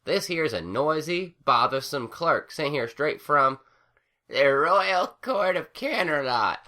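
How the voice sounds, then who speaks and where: Casting: brash hot-tempered farm mare, loud and raised, done by a man. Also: fairly high pitch, animated, takes it slowly, voice pushed up, heavily acted.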